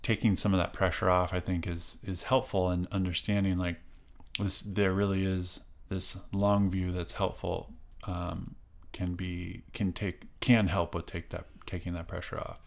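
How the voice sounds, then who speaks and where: Very deep-voiced, thoughtful man co-host. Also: level tone, gravelly. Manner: thoughtful, halting, many fillers and restarts. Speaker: parenting podcast co-host. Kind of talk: casual conversation.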